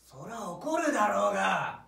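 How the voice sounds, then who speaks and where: A man playing an exasperated, panicky young hiker stranded in a mountain hut, shouting indignant retorts in a comedy. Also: sits high, big pitch swings, unhurried, creaky, full character voice. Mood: panicky, angry. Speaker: comic straight man. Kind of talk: loud stage sketch comedy. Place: on a small indoor theatre stage. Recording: echoey small theatre, distant camera.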